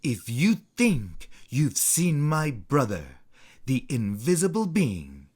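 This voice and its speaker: A storyteller voicing a stern sister who guards her brother, forceful and challenging in a read-aloud folktale. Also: medium pitch, huge pitch swings, slow pace, slightly rough, pitched above the performer's own voice, full character voice. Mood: measured, testing.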